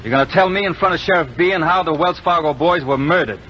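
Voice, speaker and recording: A man's voice: on the high side, fast, sharp tone. An American man acting a bold, unflinching Old West lawman in an old-time radio western, firm and taunting. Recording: muffled, hissy vintage radio recording.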